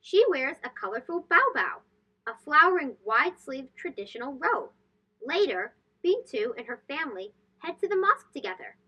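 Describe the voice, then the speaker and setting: A woman reading a picture book aloud to young children in an expressive, sing-song, emphatic way. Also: high-pitched, normal speed, muffled recording. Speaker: children's read-aloud host. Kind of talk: animated storybook read-aloud for young children.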